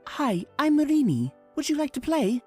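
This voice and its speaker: A high-pitched, bubbly female pony played by a woman from a cartoon comic dub. She is friendly and teasing, with a voice that swoops around. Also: very animated, moderate pace, mellow, soft background music. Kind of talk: fan comic dub voice acting.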